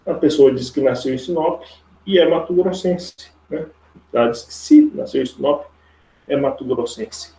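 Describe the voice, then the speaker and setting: A Brazilian man with a creaky voice teaching a live online logic lesson step by step, informally, repeating himself and checking for agreement with tag questions. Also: fairly high pitch, moderate pace, dark tone, slight background noise. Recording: roomy, echoey online class audio.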